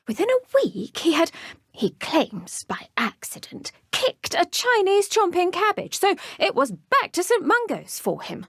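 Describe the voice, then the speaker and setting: A woman playing a cheerful, gentle young British professor of herbology in a video game, with an encouraging, genteel and effusive way of talking. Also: high voice, dry recording, clean studio sound. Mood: gently wry, confiding. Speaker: teacher. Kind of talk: video game character dialogue.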